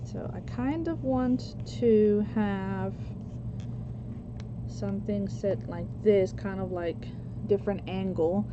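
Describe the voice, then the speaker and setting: A woman in her forties from Costa Rica, chatting slowly with viewers as she works on a paper craft. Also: mid-range voice. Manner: slow, chatty, answers chat comments while working. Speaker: craft livestream host. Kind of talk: casual crafting chat with viewers. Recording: lo-fi with background noise.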